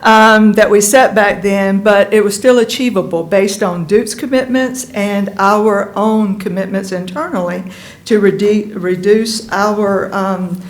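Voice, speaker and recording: A woman's voice: medium pitch, fairly even intonation, slow, reverberant. A woman on the utility staff presenting slides to the board, speaking casually with many fillers. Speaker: utility staff filling in for a manager.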